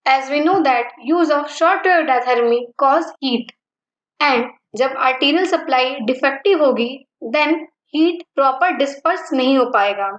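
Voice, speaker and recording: A woman teaching physiotherapy, slowly listing points and mixing Hindi with terms. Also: high-pitched, echoey room, dull audio. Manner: mixes Hindi and English terms, list-like delivery. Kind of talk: slow, deliberate lecture reading.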